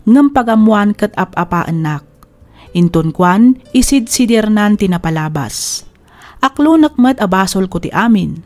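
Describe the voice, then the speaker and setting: Female program host, scripted letter-reading and advice, medium pitch over a music bed. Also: expressive, measured pace, a little mellow. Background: music under the voice.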